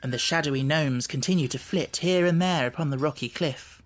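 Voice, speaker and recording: A man's voice: on the high side, brisk, a little hiss or hum. A man reading scripted storybook narration as an audiobook narrator.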